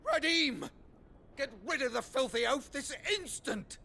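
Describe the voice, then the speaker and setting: A man's voice: a little slow, gravelly, pushed and bright, a little hiss or hum, full character voice. A man playing a haughty, easily enraged nobleman in a video game, bursting out with indignation. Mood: outraged, frantic. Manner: indignant, shrill outbursts. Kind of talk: video game cutscene acting.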